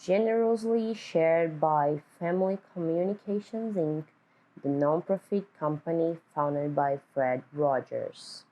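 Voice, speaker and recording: A woman with a soft-edged, mellow voice reads a picture book to young children. She takes her time, with a very slow, deliberate delivery, and pitches her voice a little low. She puts simple questions to the listeners as a teacher would. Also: muffled recording. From Brazil. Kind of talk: read-aloud lesson with simple questions to children.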